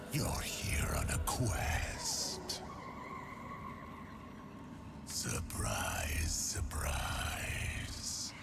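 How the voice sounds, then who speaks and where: An ancient, contemptuous beast god with a very deep, breathy growl. His grandiose, menacing game-villain delivery swings wildly in pitch and crawls along slowly. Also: full character voice. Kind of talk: theatrical video game character acting. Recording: loud music under the voice.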